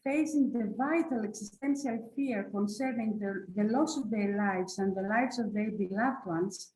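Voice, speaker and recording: A woman from Greece with a Greek accent, speaking English as a museum director at an online conference, measured and hesitant with fillers. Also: mid-range voice, darkish tone, echoey room, rough recording. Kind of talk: prepared conference talk. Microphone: video call.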